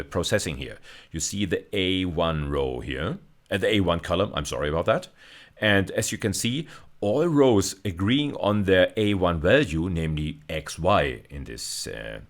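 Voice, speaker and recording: Male lecturer, deep pitch, didactic, emphatic repetition, technical explanation. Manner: didactic, repeats phrases for emphasis. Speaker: university lecturer.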